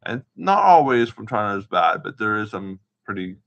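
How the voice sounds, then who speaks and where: A man with a fairly low, heavily creaky voice talks casually to his viewers as an amateur vlogger. He rambles and gropes for words, often circling back over what he said. His tone is bright and forceful, though the home recording sounds a bit muffled. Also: very animated. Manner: halting, searching for words, repeating himself. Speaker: amateur vlogger showing gear. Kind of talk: casual rambling show-and-tell to camera.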